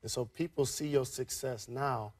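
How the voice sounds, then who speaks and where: A man's voice: medium pitch, fairly even intonation, normal speed. A male co-host asking plainspoken, earnest interview questions. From Detroit.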